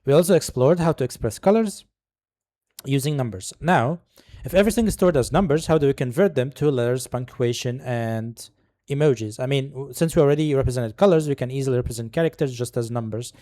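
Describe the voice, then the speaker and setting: A man whose first language is Arabic walks viewers through a screen-recorded tech lesson, reading the course text and breaking off into casual asides. His voice is dark and mellow. The close mic sounds dry, with no room echo. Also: mid-range voice. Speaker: tutorial host.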